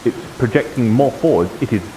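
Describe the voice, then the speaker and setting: A man's voice: medium pitch, animated, a little fast, warm tone, a little hiss or hum, natural voice, poor audio. A man playing a patient, one-eyed shield fighter who explains and cautions, in improvised fantasy roleplay. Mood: patient, instructive.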